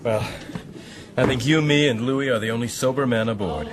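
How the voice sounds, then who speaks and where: An actor playing a sober, educated gentleman sailor in a radio drama, speaking in dry, precise, literate words. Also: mid-range voice, moderate pace, crowd noise, natural voice. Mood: wry, argumentative.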